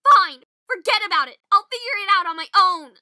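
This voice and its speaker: A woman's voice: very high voice, expressive, a little fast, pushed and bright, no echo, studio quality, exaggerated voice. A woman playing an earnest, emotional young girl in a game fan dub, pleading and insistent. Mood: desperate, pleading.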